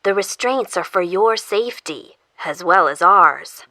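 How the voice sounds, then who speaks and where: Woman playing a coolly polite menacing lady captor, slow n drawn out, very lively pitch, audio drama. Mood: wry, controlled. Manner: measured, coolly polite, stretches out words. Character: composed, quietly menacing woman captor speaking over an intercom. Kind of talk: audio fiction acting.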